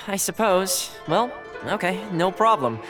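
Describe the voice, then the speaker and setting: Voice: mid-range voice, big pitch swings, takes it slowly. A voice actor playing a pragmatic, weary clan official in a video game, polite and matter-of-fact. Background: game music.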